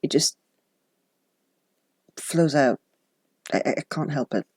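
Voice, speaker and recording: A woman's voice: low-pitched, takes it slowly, darkish tone, breathy edge, dry recording. Chatty woman, dry close sound. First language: Dutch. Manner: chatty and reflective, with self-deprecating asides. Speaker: journaling vlogger. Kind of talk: casual chat.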